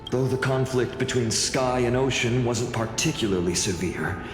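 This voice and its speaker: A man playing a grave, pensive warrior in a fantasy game, with a formal, deliberate, brooding delivery. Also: medium pitch, close to an everyday voice. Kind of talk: dramatic fantasy game voice acting. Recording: echoey hall with music behind.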